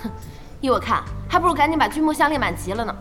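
A gossipy, impatient young gamer in a radio drama. Her voice sits high and swings about expressively as she chatters and nags, with light music beneath. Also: lively intonation, fast, pushed and bright. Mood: nagging, puzzled. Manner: chatty, nagging. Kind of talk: animated audio drama dialogue. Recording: faint music behind the voice.

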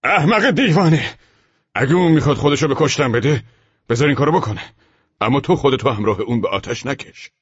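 A man's voice: on the low side, breathy edge, pitched above the performer's own voice. A man voicing a hot-tempered, commanding army sergeant in a war story, barking urgent orders and warnings. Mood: angry. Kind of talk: dramatized war story narration. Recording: muffled, echoey room recording.

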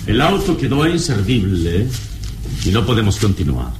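An actor playing a calm, commanding adventurer hero in a solemn, formal manner, in radio serial style. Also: male voice, fairly low pitch, normal speed. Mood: firm, solemn. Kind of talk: old Spanish-language radio serial acting. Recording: slightly noisy old radio recording.

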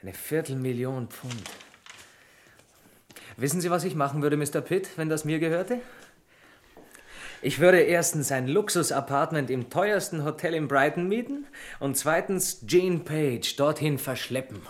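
Cheeky young bank apprentice. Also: male voice, on the high side, lively intonation, unhurried. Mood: dreamy, cheeky. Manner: flippant, chatty. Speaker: actor in a radio play. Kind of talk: German radio play acting.

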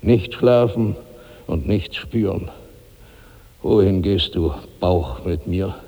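A man's voice: sits low, slow pace, slightly rough, dull audio, exaggerated voice. An actor in a 1960s German radio drama playing a huge, heavy old man tormented by bottomless hunger, in declamatory, incantatory repetition. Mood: anguished, brooding. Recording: long hall reverb on old radio recording.

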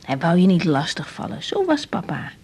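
A woman's voice: on the low side, very animated, moderate pace, darkish tone, slightly rough, full character voice. An actress playing an intense, caring young woman doctor with a Netherlands Dutch accent in a radio drama, speaking in a confiding, insistent manner. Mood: reassuring. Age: young adult. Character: intense young female doctor, caring but exasperated daughter. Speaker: lead actress in radio serial. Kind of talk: 1980s radio drama, intimate monologue and dialogue.

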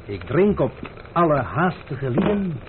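A man playing a stubborn, teasing gentleman adventurer in a radio play, speaking with mock courtesy and unhurried insolence. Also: a little high, very animated. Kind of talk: old radio drama acting. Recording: tinny, band-limited old radio recording with hiss.

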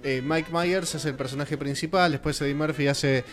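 A man's voice: a little high, steady tone, moderate pace, faint music behind. A man with an Argentine Spanish accent, co-hosting a casual radio panel and explaining at length with many fillers. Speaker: radio show host.

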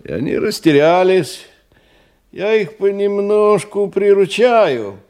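Animated, evasive male agent. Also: sits high, takes it slowly, clear, resonant tone. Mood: hesitant, self-assured. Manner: hesitant, deferential, defensive. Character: evasive male agent nervously reporting to his boss. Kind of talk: Russian radio drama acting, circa 1990.

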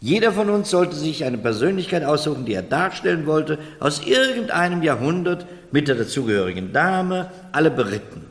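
Touchy, vain gentleman, reverberant room. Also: fairly high pitch, sing-song, brisk, few pauses. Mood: reminiscing, boastful. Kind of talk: 1970s German radio play acting. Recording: reverberant room, vintage radio drama.

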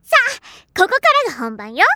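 Bubbly little fairy girl: tiny sky-high cute voice, wildly animated, cheeky and bossy, for anime audio drama. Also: a little fast, full character voice. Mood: curious, excited. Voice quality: cute, childlike. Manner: perky, lecturing with mock authority.

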